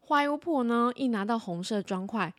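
High-voiced woman telling children's stories. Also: animated, normal speed, no echo. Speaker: children's story podcast host. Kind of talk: animated storytelling for children.

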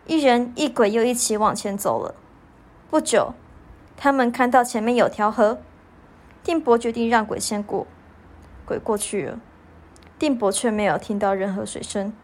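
High-voiced teenage girl narrator. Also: normal speed, long pauses. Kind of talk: scripted story narration.

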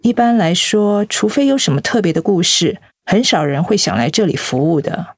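A courteous school director, dry sound. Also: female voice, on the low side, animated, moderate pace, no room sound. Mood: curious, friendly. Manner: courteous, hospitable. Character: courteous middle-aged woman director of a youth school. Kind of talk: radio drama acting.

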